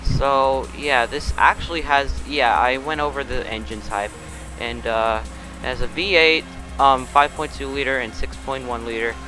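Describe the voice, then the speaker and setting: A man's voice: mid-range voice, moderate pace, music in the background, low-quality recording. A young man hosting a casual car review of a game vehicle, rambling with many restarts and fillers. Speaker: car review channel host.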